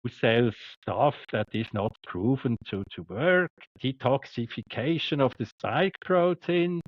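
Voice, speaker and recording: A man with a Swiss accent, a doctor speaking as a podcast guest, halting and repeating words but emphatic. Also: medium pitch, no room sound, muffled recording. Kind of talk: podcast interview.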